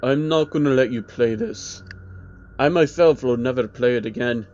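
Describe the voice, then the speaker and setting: A man's voice: mid-range voice, normal speed, darkish tone, muffled recording, slight background noise, natural voice. A man voicing a wary, blunt keeper of cursed tapes in a horror story, giving matter-of-fact warnings. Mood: grave warning.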